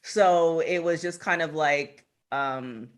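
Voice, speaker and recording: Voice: medium pitch, takes it slowly. A man in his thirties co-hosting a podcast, talking in a rambling way with lots of fillers. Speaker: podcast co-host. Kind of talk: casual podcast chat.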